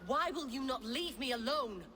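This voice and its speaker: A woman's voice: high voice, animated, moderate pace, sharp tone, a little hiss or hum. An actress playing a haughty, pious, defiant noblewoman in a game, imperious and theatrical. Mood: zealous. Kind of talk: video game dramatic acting.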